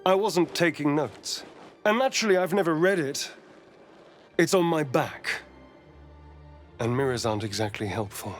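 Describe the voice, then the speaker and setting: A man's voice: fairly high pitch, expressive, normal speed, long pauses, faint music behind, lightly acted. A man playing a vain, sardonic, flirtatious vampire elf in a video game, arch and theatrical. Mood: bitterly wry. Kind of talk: video game dialogue acting.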